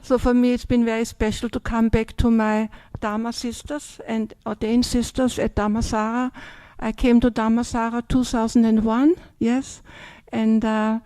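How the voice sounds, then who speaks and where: An older woman with an Austrian German accent gives a relaxed talk. Her voice sits fairly high but sounds mellow and soft. It is recorded close and dry, with no room sound, and she keeps checking in with her listeners. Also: normal speed, hardly any pauses, clear, resonant tone, no echo. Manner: chatty, tags sentences with "yeah?" and "yes?". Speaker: Buddhist nun. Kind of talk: dharma talk.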